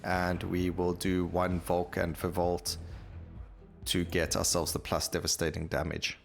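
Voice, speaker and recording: A man's voice: deep voice, monotone, unhurried, creaky. A man giving casual gameplay commentary, rambling and thinking aloud as he plays. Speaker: gaming video host. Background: game music.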